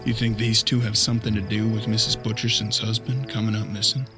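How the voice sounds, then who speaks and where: An actor playing a young, inquisitive apprentice detective in a western audio drama, with a folksy, questioning manner. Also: male voice, lowish voice, level tone, fairly quick, over music, lightly acted. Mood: doubtful, questioning.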